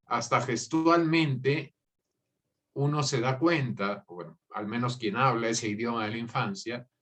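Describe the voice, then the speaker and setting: A man's voice: medium pitch, unhurried, fairly bright tone. A man with a mid-range voice hosts a conversation in a writing workshop. He talks with enthusiasm, stumbling over repeated words as he works toward his point. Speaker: workshop host. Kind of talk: conversational interview.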